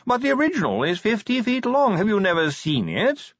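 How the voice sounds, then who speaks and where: A man's voice: high-pitched, huge pitch swings, no room sound, voice pushed up, full character voice. A man voicing a posh, fussy male art curator who drawls as if yawning. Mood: plaintive. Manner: slow and plaintive. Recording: dull lo-fi recording.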